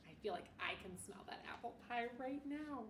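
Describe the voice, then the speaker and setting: Female teacher for kids' storybook read-aloud: very lively intonation, expressive, asks questions of listeners; distant mic with faint noise. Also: on the high side, echoey room. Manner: animated, expressive, pausing to ask the listeners questions. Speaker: elementary school teacher. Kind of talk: picture book read-aloud for children.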